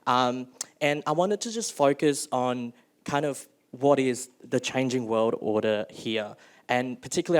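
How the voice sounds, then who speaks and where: A young man from Malaysia speaking as a youth delegate on a panel, hesitant, with many ums and restarts. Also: medium pitch. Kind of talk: panel talk.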